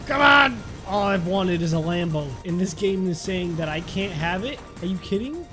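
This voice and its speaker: A man's voice: high voice, animated, normal speed, pushed and bright. A young adult man giving casual, joking gameplay commentary in a playful, exclamatory, mock-serious way. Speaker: gaming video host. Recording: music behind the voice.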